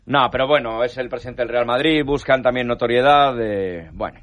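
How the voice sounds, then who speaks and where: A man giving the sports news on radio, measured, with frequent hesitant fillers. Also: medium pitch, a bit bright, no room sound. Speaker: sports reporter. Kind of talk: radio sports report and chat.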